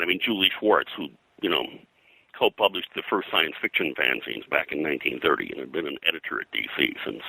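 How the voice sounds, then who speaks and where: Very deep, bright-edged older man. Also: gravelly, no echo, low-quality recording. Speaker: interview guest, veteran comics colorist. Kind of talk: reminiscing in an interview.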